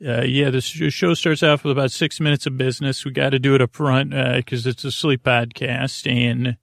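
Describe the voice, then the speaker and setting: A man hosting a sleep podcast, speaking gently in a rambling, self-interrupting way that drifts off on tangents. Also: moderate pace, dry recording, studio quality. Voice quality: creaky, low-key delivery. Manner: gentle reassurance, flat pitch. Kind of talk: meandering bedtime monologue.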